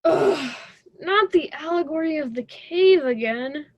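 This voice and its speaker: A woman's voice: high-pitched, lively intonation, measured pace, a little hiss or hum. A young woman playing a stubborn, sarcastic college student in a radio drama, with a dismissive conversational delivery. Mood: exasperated. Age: young adult.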